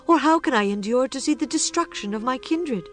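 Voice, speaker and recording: A woman's voice: high-pitched, animated, brisk, soft background music, voice pushed up. A woman voicing a young queen who pleads earnestly and formally for her people in a dramatized scripture reading. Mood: pleading. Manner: imploring, formal, deferential.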